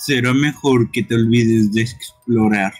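Man voicing a smug slow-talking gas monster. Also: mid-range voice, breathy edge, soft background music. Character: smug bullying male poison-gas creature villain. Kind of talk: amateur live game dubbing.

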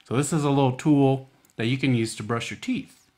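A man's voice: mid-range voice, brisk, darkish tone. An American man hosting a children's storytime, speaking in a folksy, informal, coaxing way with frequent check-in questions. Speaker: library storytime host.

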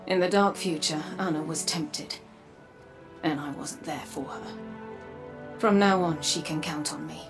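An actress playing a weary, resolute robot woman in a video game, speaking in an earnest, measured, solemn way. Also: deep voice. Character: weary, resolute robot woman, a guarded sister from dark future. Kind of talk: dramatic video game acting. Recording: game music behind the voice. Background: game soundtrack music.

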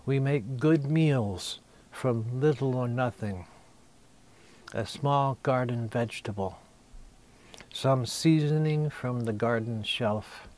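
A man in his sixties recites poetry at a slow pace, with a medium-pitched voice. His phrasing is deliberate and intimate, each short line set apart by a pause, as a poet reading his own work. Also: a little mellow.

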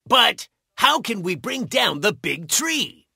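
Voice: mid-range voice, big pitch swings, slow pace, vocal fry, pushed and bright, slightly rough, no room sound, full character voice. A voice actor playing a brave, proud big cat, king of animals, in a children's cartoon, with an emphatic, heroic delivery. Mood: concerned.

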